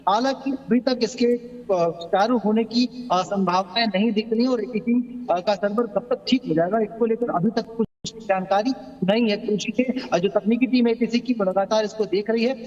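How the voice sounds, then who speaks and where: A man giving a live news report as a field reporter, in a halting, hesitant delivery. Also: sits high, a little mellow. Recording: muffled, long hall-like reverb.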